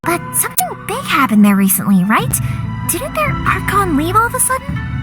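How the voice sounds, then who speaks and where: An actress playing a cheerful, energetic young female fireworks maker who talks in a bubbly, chatty, eager way. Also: extremely high pitch, normal speed, over loud music, full character voice.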